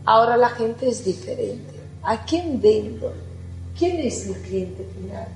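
A middle-aged woman with an Italian accent gives a conference talk, chatty and lively, often putting questions to the audience. Her voice sits a little high. It rings in an echoey hall with faint music underneath. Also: slow. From Italy. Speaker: architect giving a conference talk. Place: lecture hall.

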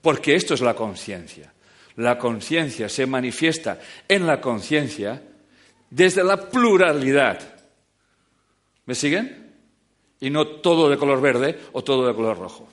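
Animated man lecturing an audience. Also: medium pitch, a little slow, long pauses. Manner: animated, joking, swings between loud and quiet. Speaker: speaker giving a talk.

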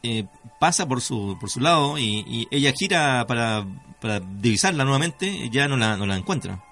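A man hosting a radio show, talking conversationally and haltingly, often checking with tag questions. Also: mid-range voice, animated, fairly bright tone, faint music behind. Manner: halting, repeats words, seeks confirmation with tag questions.

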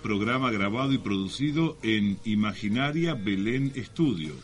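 A man's voice: fairly low pitch, expressive, moderate pace. A man with an Argentine accent co-hosting a radio show, trading teasing, mock-formal banter. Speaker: radio co-host. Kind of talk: bantering comic radio talk with mock-solemn lines.

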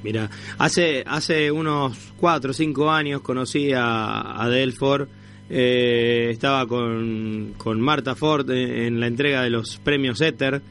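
A man with a Rioplatense Argentine accent hosting a casual radio interview, attentive and quick to chime in. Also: mid-range voice, steady tone, a bit bright, faint music behind. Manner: attentive, frequently interjecting short acknowledgements.

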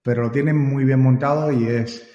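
A man from Cuba with a Cuban accent, answering interview questions in a relaxed way, often repeating words and pausing with "eh" while he thinks. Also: mid-range voice. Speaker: guest. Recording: roomy echo, long reverb, dull lo-fi sound.

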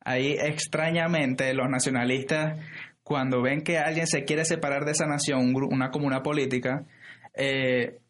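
A man's voice: mid-range voice, steady tone, normal speed, fairly bright tone. A man co-hosting a podcast, explaining ideas in casual political talk with many hesitations and restarts. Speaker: podcast co-host.

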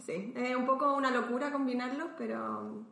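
A woman's voice: fairly high pitch, fairly even intonation, moderate pace, creaky, bright, forceful tone. A woman from Chile with a Chilean accent, chatting as a podcast guest, thinking aloud and laughing often. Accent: Chilean Spanish. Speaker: podcast guest, philosopher and artist. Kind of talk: casual interview chat. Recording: roomy echo.

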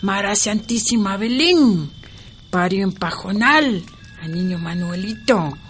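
Frail old Andean woman, Ecuadorian accent, very lively intonation, slow pleading delivery, tender, defiant, radio drama with faint music. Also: medium pitch. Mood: gently questioning. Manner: slow, affectionate, pleading, full of diminutives.

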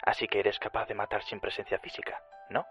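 A man playing a composed, calculating detective heard as an unseen broadcast voice in an anime radio drama, measured and intrigued. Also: lowish voice, flat delivery, a bit bright, soft background music. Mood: intrigued, challenging. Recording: dull, lo-fi transmitted voice, radio-like.

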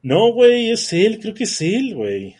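Husky northern Mexican man, rambling chat. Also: high-pitched, wildly swooping pitch, measured pace, a little mellow. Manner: rambling, many fillers and restarts. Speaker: podcast co-host. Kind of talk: casual chat.

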